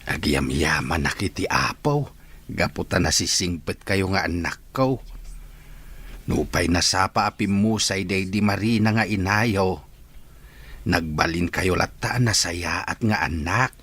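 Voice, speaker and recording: Low, husky, fond father, played by a man. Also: measured pace, a bit bright, heavily acted. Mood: tender. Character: fond, gentle father and friendly older man. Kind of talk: radio drama acting.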